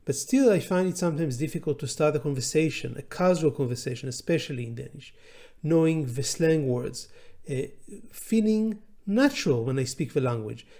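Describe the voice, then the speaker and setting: Middle-aged prof, hebrew native, slow thoughtful lecture talk, kinda warm soft voice, lots of uhs. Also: male voice, on the high side. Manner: deliberate and measured, with pauses and filler sounds. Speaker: law professor hosting an educational channel. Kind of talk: reflective educational monologue.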